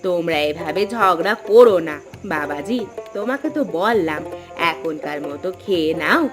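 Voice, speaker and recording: A woman's voice: lowish voice, expressive, moderate pace, natural voice. A woman voicing a placating, indulgent middle-aged village mother-in-law who coaxes and soothes, in a folk-tale cartoon. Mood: coaxing. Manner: coaxing, soothing. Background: background music.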